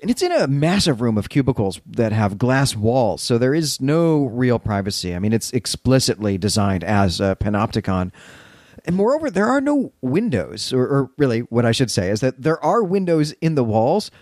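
A man's voice: medium pitch, darkish tone, no room sound, studio quality. A man hosting a podcast, recapping and commenting on a story in a conversational, explanatory way, stressing key words. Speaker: podcast host.

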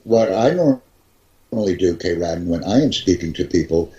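A man teaching English conversation, speaking slowly and deliberately and repeating his key points. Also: low voice, lively intonation, warm tone, dull audio. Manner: slow, carefully enunciated, repeats key phrases. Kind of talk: slow, deliberate teaching talk.

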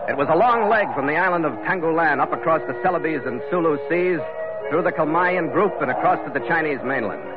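A man's voice: fairly high pitch, lightly acted. An actor playing a confident ship's captain who narrates his seafaring adventures in 1940s radio style. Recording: dull old radio recording, loud music behind.

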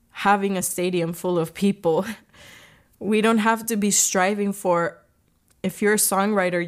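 A young woman hosting a podcast, reflecting on her faith in an earnest, gently emotional way, her voice catching now and then. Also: mid-range voice. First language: English. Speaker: podcast host.

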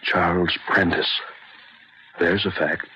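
Tormented male scientist, very low voice, slow halting monologue, old radio drama, muffled noisy recording. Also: steady tone. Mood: bitter, haunted. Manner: brooding, halting, fragmented monologue. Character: anguished, self-reproaching male chemist recalling his downfall.

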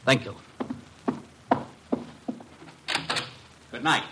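A man's voice: fast, sharp tone, slight background noise, close to an everyday voice, rough recording. An actor playing a brusque, impatient, shifty male client in an old-time radio drama, talking abruptly and haughtily. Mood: evasive, wary.

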